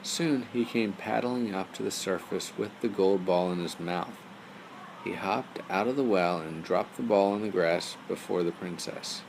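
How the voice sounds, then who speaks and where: A man's voice: low-pitched, measured pace, creaky, noisy background. A man reading a bedtime story as storyteller, speaking simply as if to young listeners.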